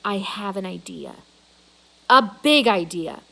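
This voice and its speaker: A woman's voice: mid-range voice, expressive, slow pace, vocal fry, sharp tone, a little hiss or hum, exaggerated voice, rough recording. Woman reading as an eager, insistent young girl. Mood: excited. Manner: emphatic, pleading.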